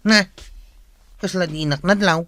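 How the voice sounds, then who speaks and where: Casting: male actor as curious Filipino nephew, bright and slightly high, teasing, radio comedy. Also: measured pace. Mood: puzzled. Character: teasing, curious nephew puzzled by his uncle.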